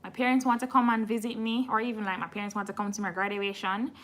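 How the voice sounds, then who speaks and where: A woman's voice: mid-range voice, little pitch movement, quick pace, fairly bright tone. A woman from Jamaica hosting a casual live stream, answering viewer questions frankly and admitting when she doesn't know. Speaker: immigration channel host.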